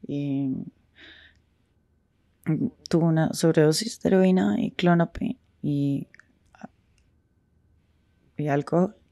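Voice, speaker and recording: A woman speaking frankly in a street interview, with halting pauses and restarts. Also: deep voice, mellow, dry recording, clean studio sound.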